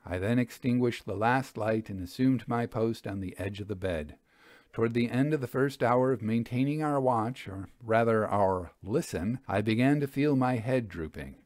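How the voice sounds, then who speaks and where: Male storyteller, measured and formal, mid pitch, very little room sound. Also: darkish tone, no room sound, studio quality. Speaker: storyteller reading fiction aloud. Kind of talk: scripted first-person story narration.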